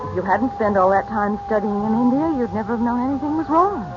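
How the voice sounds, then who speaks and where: A woman's voice: a little high, fast talker, natural voice. An actress with an American accent playing an anxious, refined middle-aged mother in a 1940s radio serial. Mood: gently reassuring. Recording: muffled 1940s radio recording with music.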